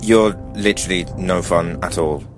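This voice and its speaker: A man's voice: sits low, normal speed, over music, close to an everyday voice. A man playing a naive, plain-spoken young adventurer with a casual manner in a comedic fantasy radio play. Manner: casual, colloquial.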